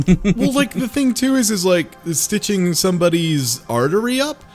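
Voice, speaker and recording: A man in a casual group discussion, talking in a wry, sarcastic, relaxed way. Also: high-pitched, normal speed, soft background music. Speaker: podcast guest.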